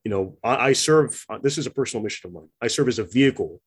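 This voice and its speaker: A man in an interview speaking reflectively, with many pauses, fillers and restarts. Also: medium pitch, expressive, breathy edge. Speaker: interview guest. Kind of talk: conversational interview.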